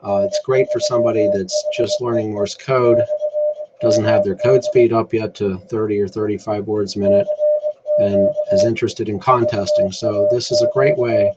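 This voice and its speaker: Man, lowish pitch, casual demo narration, laid-back explaining, loud music-like tones behind. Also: steady tone, normal speed, lo-fi sound. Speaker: amateur radio tutorial presenter.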